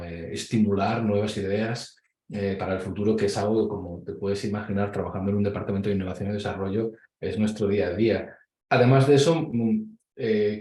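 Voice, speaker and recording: A man with a Spain Spanish accent giving an online conference presentation, measured and explanatory, with frequent hesitations over a video call. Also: on the low side, mellow. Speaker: conference speaker. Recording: echoey room.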